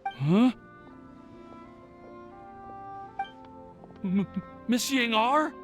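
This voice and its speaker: A man's voice: very high voice, animated, slow pace, full character voice. An actor playing a timid, lovestruck young man in a fantasy game, hesitant and stammering, with music behind him. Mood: flustered. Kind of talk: animated game voice acting.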